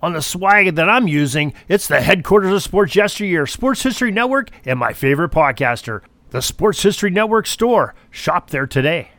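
A man's voice: high-pitched, animated, normal speed, a bit bright, dry recording. A man delivering scripted promotional narration as an announcer.

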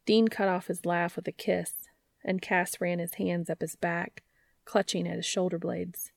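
A woman's voice: on the low side, flat delivery, measured pace, vocal fry, dry recording, studio quality. A woman narrating scripted fiction as an audiobook reader.

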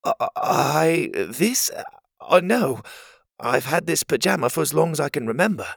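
A man voicing a cautious young male hero who talks in quick, urgent whispers. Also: a little high, sing-song, creaky, a bit bright, no room sound, clean studio sound, pitched above the performer's own voice, exaggerated voice.

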